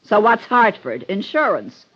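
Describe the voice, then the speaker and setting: An actress in a 1950s radio drama playing a shifty, street-wise fake medium who talks in protesting, ungrammatical slang. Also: female voice, medium pitch, sing-song, measured pace. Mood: grudging, explaining. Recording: dull old radio recording.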